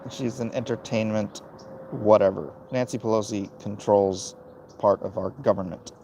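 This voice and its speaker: A man with a gravelly voice hosting a casual, opinionated livestream, answering chat in a blunt, dismissive way and muttering between thoughts. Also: on the low side, fairly even intonation, normal speed, mellow, slight background noise, poor audio. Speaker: livestream host reading and answering chat comments.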